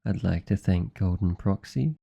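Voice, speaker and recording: A British man with a gravelly, dark voice, a voice actor casually addressing his listeners in an outro. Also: sits low, moderate pace.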